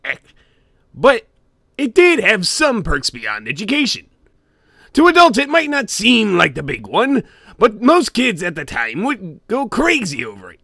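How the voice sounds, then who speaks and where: A man voicing a gruff, greedy old cartoon sea-crab boss, gravelly and theatrical, narrating a comic scary story in character. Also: high voice, wildly swooping pitch, a little slow, pushed and bright, dry recording, studio quality, full character voice. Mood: wry, grumbling. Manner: theatrical, with grumbling asides and barking chuckles.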